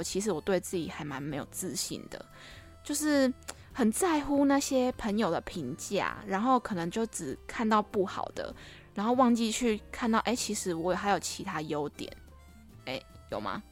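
Young woman, podcast host; mid-pitched, casual, playful chat over faint music. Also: big pitch swings, moderate pace. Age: young adult. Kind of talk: casual, chatty podcast monologue.

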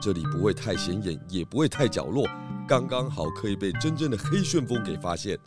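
A man playing a shrewd pig detective in a children's audio drama, speaking in a deliberate, theatrical sleuthing way. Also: lowish voice, big pitch swings. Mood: confident, scheming. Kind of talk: children's radio drama acting. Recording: music behind the voice.

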